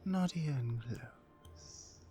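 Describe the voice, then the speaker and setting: A woman playing a towering, mean, dominant orc captor in an ASMR roleplay, commanding and deliberate. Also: low voice, expressive, mellow, soft background music, heavily acted. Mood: scornful, threatening. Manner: menacing, commanding, slow and deliberate.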